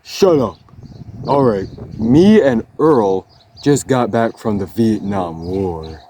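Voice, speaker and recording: A young man playing a silly, self-styled traumatized veteran in an amateur comedy skit, jokey and switching between loud lines and mutters. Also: lowish voice, lively intonation, slow, slight background noise. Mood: goofy. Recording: loud music behind voice.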